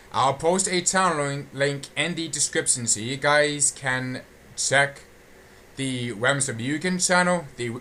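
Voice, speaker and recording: Bright-voiced man, halting and repetitive. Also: medium pitch, slight background noise. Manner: halting, repetitive, often restating phrases. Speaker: channel host giving an update. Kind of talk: casual unscripted audio log.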